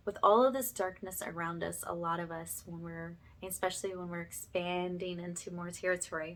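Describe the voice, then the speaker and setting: A woman with a slightly low voice speaks as the host of a spiritual ASMR session. She addresses listeners directly in an informal, reassuring way, often adding small tags to check they are following. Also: fairly bright tone.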